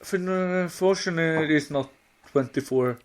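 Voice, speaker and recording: Slow, halting Swedish man. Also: a little high. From Sweden. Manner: halting, searching for words in imperfect English. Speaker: podcast co-host. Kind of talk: casual chat.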